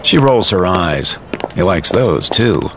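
A man's voice: low voice, animated, slow, noisy background. A man giving scripted, vivid storytelling narration for an audio drama.

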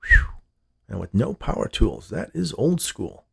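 Man acting a gravelly, smooth-talking land buyer. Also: fairly low pitch, moderate pace, heavily acted. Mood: friendly. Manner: calm, reasonable, folksy. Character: smooth-talking, polite male land buyer, disarming and persistent.

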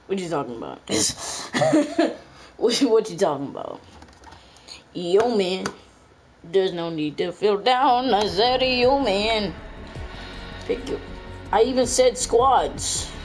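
A woman's voice: medium pitch, lively intonation, takes it slowly, long pauses. A woman commentating a video game in a casual, rambling, self-mocking way, her voice worn as if she is losing it. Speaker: gameplay commentator. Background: game music and sounds.

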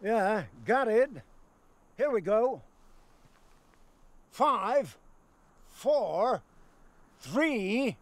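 A man's voice: high-pitched, expressive, extremely slow, pauses often, dry recording, heavily acted. An actor in his sixties playing a weary, sardonic news cameraman in a film drama, speaking outdoors. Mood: brisk. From Hungary. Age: 60s. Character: weary, wry older male news cameraman. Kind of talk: film acting, dramatic dialogue. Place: outdoors in an open field. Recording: noisy open-air film dialogue.

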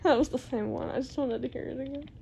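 A woman's voice: high-pitched, fast, creaky, dark tone, a little hiss or hum. A young woman chatting casually at home, picked up by a handheld phone in a living room. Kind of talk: casual chat. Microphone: handheld phone camera.

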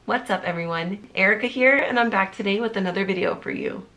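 A woman's voice: medium pitch, a little fast. A woman in her thirties chatting to camera in a casual vlog, bubbly and talkative, her voice nasal and congested from a cold. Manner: bubbly, talkative, lots of "like" fillers. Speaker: vlogger. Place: small indoor room, seated before a pink wall.

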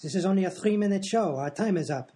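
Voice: mid-range voice, lively intonation, fast talker, mellow, close to an everyday voice. An actor voicing an eccentric, pompous, grumbling professor in a comedy radio play. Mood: grumpy, absurd. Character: eccentric, agitated German professor, a man.

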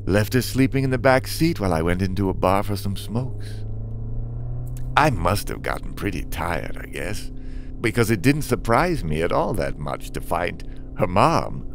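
Male actor for a weary driver father, brooding narration, lively in pitch. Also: vocal fry. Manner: slow, brooding storyteller narration. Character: weary guilt-ridden stunt driver father. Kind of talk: narrated motion comic. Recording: over loud music score.

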